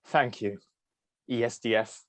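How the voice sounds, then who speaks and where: A woman's voice: extremely low pitch, fairly even intonation, a little slow, no echo. A woman playing a protective, loyal young man with a guarded, terse manner in a play reading. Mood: steady, watchful. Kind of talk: reader's theatre acting.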